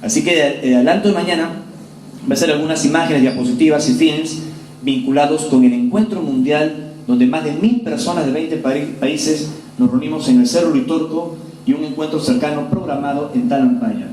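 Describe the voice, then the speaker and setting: A man's voice: a little high, warm tone, recorded from a distance. Peruvian-born man lecturing in a roomy hall. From Peru. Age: 40s. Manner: earnest, measured storytelling to an audience. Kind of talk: public lecture. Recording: roomy hall with faint ambience.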